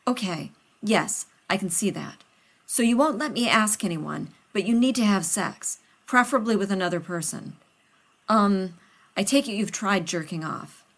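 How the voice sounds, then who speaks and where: A woman's voice: medium pitch, lively intonation, normal speed. A woman reading a story aloud, voicing a fussy, rambling male scientist who talks over others. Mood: flustered.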